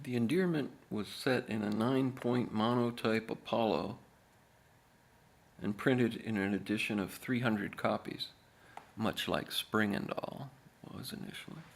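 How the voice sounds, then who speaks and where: Guy reading his own writing out loud, really slow and gravelly, kinda deadpan. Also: lowish voice, a little hiss or hum. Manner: measured, halting with deliberate pauses. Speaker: author reading own book.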